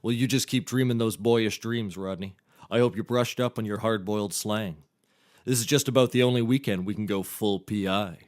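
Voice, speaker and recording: An actor playing a sensible, articulate private eye with a dry, teasing, wordy way of talking. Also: male voice, on the low side, moderate pace, lightly acted. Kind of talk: comedic mock-noir dialogue.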